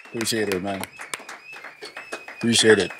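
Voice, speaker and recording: A young man from Congo, a French speaker, talking calmly and in a laid-back way as a podcast guest. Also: normal speed, some chatter behind, a little hiss or hum. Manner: calm, low-key, laid-back. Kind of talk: casual chat.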